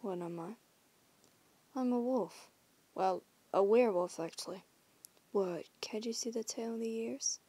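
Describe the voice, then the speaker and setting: A woman's voice: on the high side, a little slow, long pauses, warm tone. A woman voicing a teasing young female werewolf in an audio roleplay, whispering huskily and swearing casually. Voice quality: whispery, husky.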